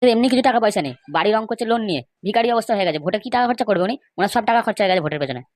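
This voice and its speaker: A man's voice: high-pitched, lively intonation, rapid-fire, no echo, studio quality. A man with a West Bengal accent voicing argumentative, indignant men in an amateur comedy cartoon, scolding and insistent. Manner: scolding, insistent, rhetorical questions.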